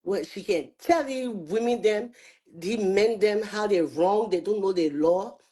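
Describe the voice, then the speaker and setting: A woman's voice: mid-range voice, mellow, husky. Earnest woman arguing. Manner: earnest, rapid bursts of argument. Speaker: guest commentator. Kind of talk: opinionated chat.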